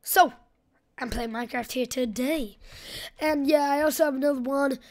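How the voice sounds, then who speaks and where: A young woman with a scratchy voice giving casual, rambling, slangy gameplay commentary for a video. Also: high-pitched. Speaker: gaming video host.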